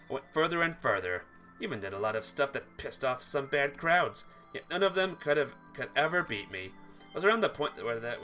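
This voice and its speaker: A male streamer narrates a visual novel playthrough in a bright, forceful voice with lively pitch. He reads the text aloud and chats casually, with soft plucked guitar music behind him. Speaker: game streamer.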